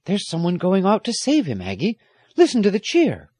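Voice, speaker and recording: A hearty old country squire from a storybook, performed by a man, doting and excitable. He speaks in a raised, very animated voice with a dry, close sound. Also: high voice, normal speed, dry recording, voice pushed up, heavily acted. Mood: excited. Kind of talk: audiobook character acting.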